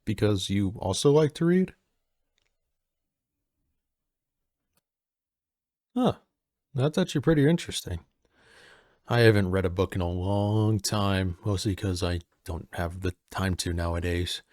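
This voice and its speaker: A man playing a friendly, easygoing personal assistant at a manor in an audio roleplay, soft-spoken, casual and a little hesitant. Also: deep voice, moderate pace, dry recording, studio quality, natural voice. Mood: curious, then wistful, chatty.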